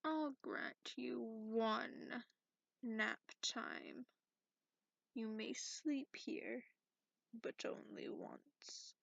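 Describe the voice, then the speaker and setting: A woman voicing a cranky, sarcastic, sleepy dragon in a breathy, half-whispered ASMR roleplay, drawling haughtily. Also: a little high, drawn-out words, fairly bright tone, no echo, heavily acted. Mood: grudgingly indulgent. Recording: muffled lo-fi close recording.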